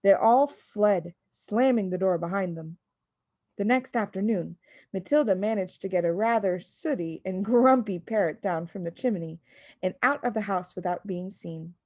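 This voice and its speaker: A woman reading a children's story as an expressive audiobook narrator. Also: mid-range voice, normal speed, no echo. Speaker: audiobook reader.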